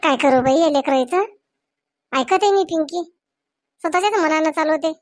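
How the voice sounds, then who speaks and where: A woman's voice: high-pitched, moderate pace, dry recording, lightly acted. A woman voicing a fretful, strict village mother in a comedy cartoon, admonishing her child. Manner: fretting, admonishing.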